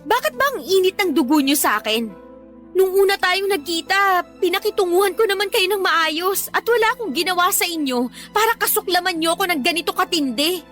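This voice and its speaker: An actress playing an earnest young student pleading respectfully but firmly in a Tagalog radio drama. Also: female voice, very high-pitched, animated, fairly quick, a bit bright, faint music behind. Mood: hurt, firm. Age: young adult. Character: earnest young student girlfriend pleading with her boyfriend's mother.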